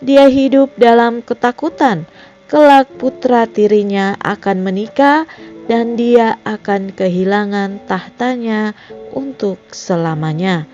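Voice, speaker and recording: A woman narrating a film recap in a slow, measured, scripted reading style. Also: mid-range voice, darkish tone, dull audio, soft background music.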